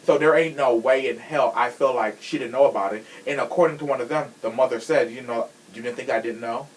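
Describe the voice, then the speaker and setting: A man's voice: mid-range voice, fairly quick, low-quality recording. A man hosting a podcast in casual true crime chat, sassy and blunt, swearing freely. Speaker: podcast host.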